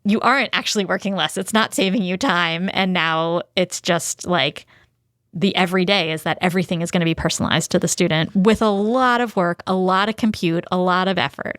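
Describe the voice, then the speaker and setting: A woman's voice: fairly low pitch, a little fast, a bit bright, no room sound, clean studio sound. A woman co-hosting a podcast, explaining ideas conversationally with concrete examples. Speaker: podcast co-host.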